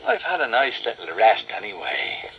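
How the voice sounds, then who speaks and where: A sulky, low-mumbling talking telescope in a cartoon, as a man puts him on. He grumbles slowly and quietly. The recording is dull and lo-fi. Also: wildly swooping pitch, a little hiss or hum. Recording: dull lo-fi soundtrack with faint music.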